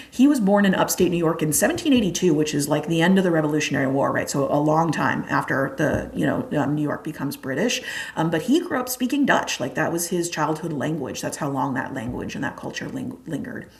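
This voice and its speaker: Low-pitched young woman, chatty teacher giving an exam review, filler-heavy and casual. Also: expressive, brisk. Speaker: history teacher.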